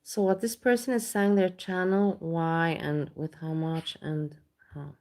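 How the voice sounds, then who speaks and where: Low-voiced Austrian woman, rambling candid vlog chat. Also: little pitch movement, a little slow, a little mellow. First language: German. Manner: rambling, candid, swears casually. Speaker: vlogger. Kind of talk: casual chat.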